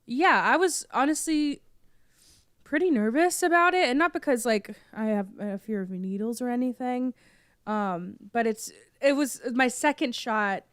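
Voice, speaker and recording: A young woman, still hoarse from being sick, chats casually on a podcast and coughs now and then. She rambles through fillers and restarts. Her voice comes through smooth and close, with no room sound. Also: on the high side, measured pace, fairly bright tone, dry recording. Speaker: podcast co-host. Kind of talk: casual chat.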